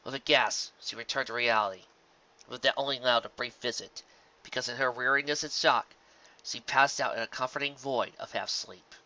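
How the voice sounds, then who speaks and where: Guy reading fanfic out loud, bright sharp voice, expressive storyteller, slightly muffled lo-fi mic. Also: mid-range voice, a little fast. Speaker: fan fiction narrator. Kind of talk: expressive story narration with occasional casual asides.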